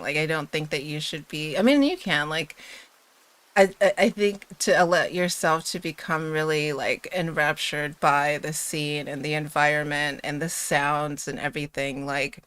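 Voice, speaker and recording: A woman's voice: low-pitched, fairly bright tone. A sleepy woman who has just woken, hosting a casual livestream chat before a bedtime reading, giggly and rambling. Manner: giggly and rambling, with many fillers. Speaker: livestream host.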